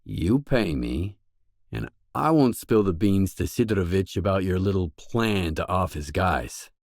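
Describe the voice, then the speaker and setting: A male actor playing a weary, tough, guarded stalker mercenary in a video game, speaking in blunt, deadpan lines. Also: low voice, measured pace, no echo, clean studio sound. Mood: curt, menacing. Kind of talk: video game voice acting, isolated dialogue lines.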